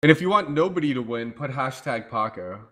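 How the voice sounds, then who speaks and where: A man casting a live online game match, talkative and playful, his voice going hoarse. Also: mid-range voice, expressive, fairly quick, bright, forceful tone. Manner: talkative, playful, hypes the chat. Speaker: esports caster. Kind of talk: casual live game commentary.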